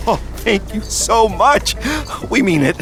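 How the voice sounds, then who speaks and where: Casting: jittery young rogue for a game, stammering and pleading, creaky voice that swings in pitch. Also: male voice, lively intonation, slow pace, heavily acted. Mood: grateful. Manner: stammering, flustered. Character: nervous, stammering young male sneak, pleading and hapless. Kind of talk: animated video game voice acting. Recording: loud music behind the dialogue.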